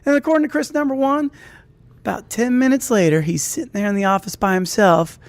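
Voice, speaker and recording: A man's voice: sits high, fairly even intonation, fairly quick, no room sound. A man telling a comedic personal story to an audience, rambling and interrupting himself with asides.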